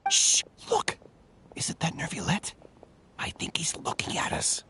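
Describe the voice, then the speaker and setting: An actor playing a playful, easygoing guard in a video game, talking casually. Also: male voice, a little slow, whispered, close to an everyday voice. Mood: hushed excitement. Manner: casual, colloquial. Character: playful, easygoing male guard, loyal colleague.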